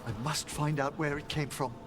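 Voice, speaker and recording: An actor voicing a courtly, kindly, middle-aged professor of necromancy in a fantasy game, with formal and eloquent theatrical delivery. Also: male voice, fairly high pitch, little pitch movement, moderate pace, over loud music, close to an everyday voice. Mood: alarmed. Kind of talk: fantasy video game dialogue.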